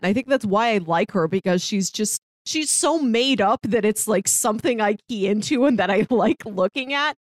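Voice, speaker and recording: A woman's voice: on the high side, dry recording. A woman co-hosting a podcast in casual chat, talking chattily and qualifying her opinions as she goes. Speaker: podcast co-host. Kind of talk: casual chat about a TV drama.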